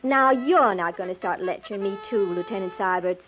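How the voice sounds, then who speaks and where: An American actress playing a chatty, easily riled young schoolteacher bride with folksy, earnest speech in a 1950s radio drama. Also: female voice, medium pitch, a little fast, faint music behind, natural voice. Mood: fretful, chatty. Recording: tinny, narrow-band 1950s radio recording.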